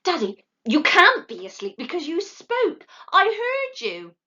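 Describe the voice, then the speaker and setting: A woman voicing an excitable little male barn owl chick, speaking in an eager, high, piping voice. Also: unhurried, muffled recording, exaggerated voice.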